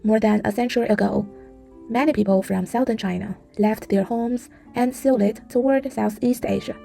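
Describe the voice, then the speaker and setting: A woman narrates a travel documentary in a measured, explanatory voice-over. Her tone is mellow and dark, with a gravelly edge. Soft music sits behind the narration. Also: fairly high pitch, steady tone.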